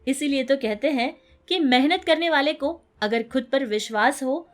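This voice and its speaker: A woman's voice: high-pitched, moderate pace, soft background music. A woman narrating a scripted moral story in an earnest, instructive manner.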